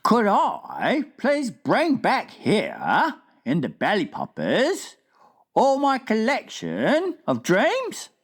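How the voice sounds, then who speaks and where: A woman voicing a big, gentle, friendly male giant who talks in drawn-out, folksy, mangled grammar. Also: medium pitch, wildly swooping pitch, breathy edge, pitched above the performer's own voice, heavily acted. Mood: humble, pleading.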